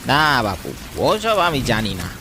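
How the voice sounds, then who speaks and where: A man's voice: medium pitch, wildly swooping pitch, slow pace. Man playing wary tea-stall keeper, noisy rain. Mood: brusque. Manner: brusque, questioning, hesitant. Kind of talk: cartoon story dialogue. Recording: clearly noisy, rain and thunder behind.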